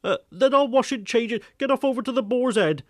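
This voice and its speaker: A man voicing a brisk male farmer in an audiobook drama. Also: very high-pitched, expressive, no room sound, studio quality, voice pushed up. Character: brisk male farmer talking to his wife. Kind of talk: dramatised audiobook character acting.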